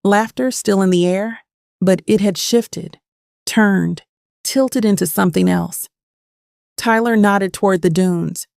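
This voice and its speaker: A woman's voice: medium pitch, slow pace, creaky, darkish tone, no echo, clean studio sound. A woman playing a bitter, haunted woman in her thirties, telling her story in intense first-person dramatic narration. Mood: uneasy. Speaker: narrator and lead of an audio drama.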